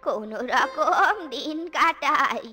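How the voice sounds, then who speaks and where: A woman's voice: sits high, takes it slowly. A woman doing a whining old village crone. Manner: whining, cackling, scolding. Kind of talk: Bengali cartoon voice acting. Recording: cartoon music behind.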